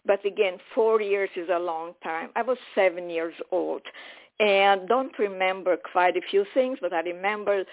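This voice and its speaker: A woman in her eighties, born in Romania with German as her first language, telling her life story as a radio guest, lively, candid and quick to joke. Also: medium pitch. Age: 80s. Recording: phone-line radio sound.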